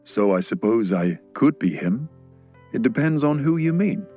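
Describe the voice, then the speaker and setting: A middle-aged man acted by a male narrator talks calmly, with a playful, enigmatic manner. He is a storybook figure, with music playing faintly behind. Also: mid-range voice, normal speed. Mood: amused, calm. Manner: calm, playfully evasive. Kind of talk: children's audiobook character voice.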